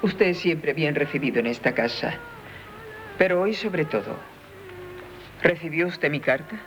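A woman's voice: deep voice, lively intonation, unhurried, slight background noise, low-quality recording. An aristocratic mother of a grown son, full of worry and stern principle. She speaks in the formal, lamenting style of old radio theatre. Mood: formal welcome. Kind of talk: 1970s Spanish radio drama acting.

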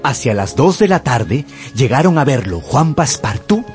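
Male narrator for radio drama: theatrical, lively intonation, scripted storytelling over a clear music bed. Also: mid-range voice, normal speed. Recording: music under the voice.

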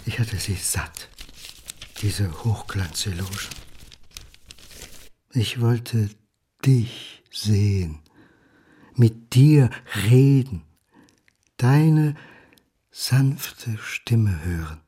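A man's voice: on the low side, animated, extremely slow, long pauses, dark tone, slightly rough, full character voice. An actor playing a lonely, hard-drinking son who speaks to his father in a sardonic, dramatic monologue. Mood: wistful, intimate.

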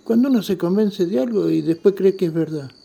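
A man's voice: sits high, brisk, gravelly, mellow. A man playing a superstitious, chatty night watchman in an audio drama, with a Rioplatense Argentine accent, muttering to himself and exclaiming. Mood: uneasy, muttering. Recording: long hall-like reverb.

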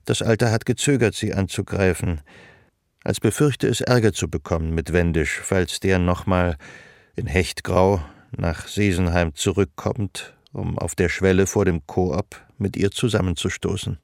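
Deep, gravelly, sombre narrator. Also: male voice, fairly even intonation, measured pace, no echo, studio quality. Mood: measured, melancholic. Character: sombre, watchful narrator of a woman's old love. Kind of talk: scripted literary radio drama narration. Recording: faint music under narration. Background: faint music.

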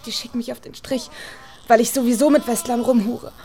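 A woman's voice: on the high side, fairly even intonation, brisk, dark tone, natural voice. An actress playing a frightened, cornered young mother in a radio crime drama, defensive and pleading, with a tearful voice. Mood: tearful, bitter.

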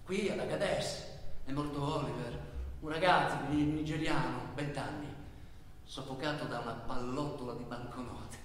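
An actor playing an intense traveller who recounts stranded migrants' hardships in a halting, repetitive theatrical monologue. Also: male voice, mid-range voice, slight background noise, natural voice. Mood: grim, hushed. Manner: halting, repetitive delivery, swinging between shouts and murmurs. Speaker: stage actor. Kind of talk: dramatic theatrical monologue in Italian. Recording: very echoey hall, distant microphone.

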